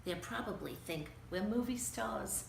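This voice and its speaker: A woman's voice: mid-range voice, expressive, measured pace, vocal fry. A woman reading a children's story aloud in a theatrical, emphatic way. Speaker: storybook reader. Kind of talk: animated children's read-aloud. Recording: roomy, distant mic with faint noise.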